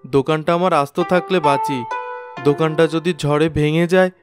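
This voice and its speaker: A man's voice: a little high, steady tone, brisk, close to an everyday voice. A man voicing an earnest, worried poor village shopkeeper and father in a cartoon moral story. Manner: earnest, pleading, polite. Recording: music behind the voice.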